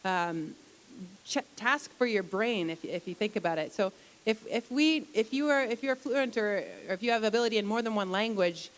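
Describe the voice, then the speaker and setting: A woman speaking at a lecture question-and-answer session in a library atrium. She explains things step by step, often repeating a word before going on. Her pitch sits in a middle range. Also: animated, normal speed. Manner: explanatory, with frequent restarts and fillers. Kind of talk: Q&A after a public lecture.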